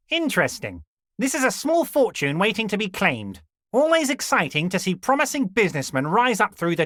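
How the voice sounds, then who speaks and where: A man's voice: high-pitched, pushed and bright, dry recording, studio quality, full character voice. A man voicing a smug, scheming union boss in a video game, unctuous and theatrical, with falsely chummy condescension. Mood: politely smug. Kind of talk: scripted game character dialogue.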